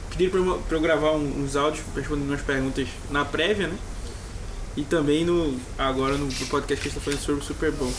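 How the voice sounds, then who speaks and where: A man's voice: a little high, noisy background. A Brazilian man co-hosting a sports podcast in casual chat, often hesitating and restarting his sentences. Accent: Brazilian Portuguese. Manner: hesitant, many restarts and fillers. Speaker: podcast co-host.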